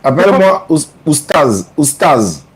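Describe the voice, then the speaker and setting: A man, an Islamic preacher taking a call, speaking in a relaxed, informal way and repeating short phrases. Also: a little high, slow, low-quality recording. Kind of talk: live call-in exchange. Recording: crowd noise in the room. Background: crowd.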